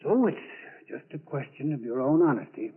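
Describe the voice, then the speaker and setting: Through a thin, tinny vintage radio recording comes a genial husband with a secret. His delivery is animated and swinging, fond and gently moralizing in the style of 1940s radio acting. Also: mid-range voice, moderate pace, slightly rough. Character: genial, upright husband who secretly cracks safes.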